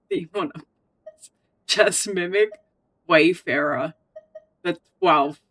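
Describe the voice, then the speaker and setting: A woman's voice: mid-range voice, no echo. A woman streamer playing a game on a livestream, giggling often as she reads the dialogue options aloud. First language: not Russian. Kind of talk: casual livestream gameplay.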